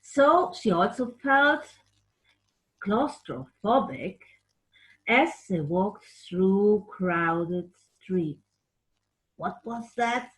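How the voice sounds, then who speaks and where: A woman telling a children's story in an animated, explanatory way, with playful asides to a puppet. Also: a little high, poor audio. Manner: animated, explanatory, makes playful sound effects.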